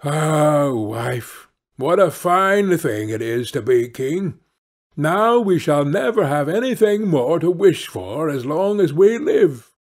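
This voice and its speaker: A man's voice: a little high, sing-song, slow, a little mellow, heavily acted. A man voicing a meek, worried, henpecked fisherman in a fairy-tale audiobook, with a pleading, plaintive manner. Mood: contented.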